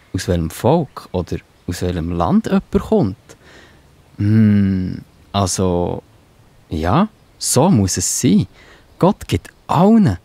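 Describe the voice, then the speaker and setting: A man's voice: fairly low pitch, creaky, darkish tone, breathy edge, dry recording, heavily acted. A man playing the apostle Peter in a children's audio drama, musing and pondering aloud. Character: Peter, thoughtful kindly bearded apostle man.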